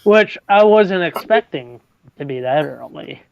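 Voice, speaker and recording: A man's voice: fairly high pitch, animated, unhurried, creaky, clean tone, dry recording. A man in his late twenties hosting a casual livestream chat, stumbling and repeating words and laughing often. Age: 20s. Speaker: livestream host. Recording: dull lo-fi, dry.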